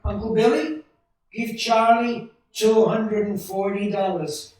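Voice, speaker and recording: Man acting an earnest, high-voiced young man, echoey. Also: steady tone, takes it slowly, a little mellow. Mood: urgent, reassuring. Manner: stammering, folksy, emphatic. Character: earnest, excitable, self-doubting young small-town family man. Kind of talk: 1940s radio play acting. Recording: distant, very echoey stage hall.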